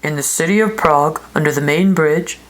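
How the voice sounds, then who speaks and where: Woman voicing a solemn, low-pitched guardian angel. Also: a little fast, lightly acted, low-quality recording. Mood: solemn, proclaiming. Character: solemn guardian angel announcing hidden treasure. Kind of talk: storybook character voice.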